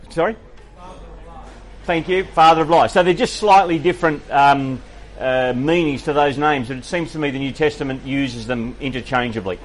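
A man's voice: medium pitch, a little hiss or hum. A man, a pastor, answering faith questions from the congregation in a thoughtful, hesitant way with many fillers. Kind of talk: Q&A sermon.

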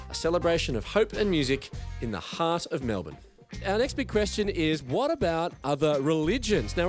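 A man hosting a live interview show outdoors at a festival, upbeat and presenter-like. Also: high-pitched. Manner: upbeat, presenter-style introductions. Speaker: show host.

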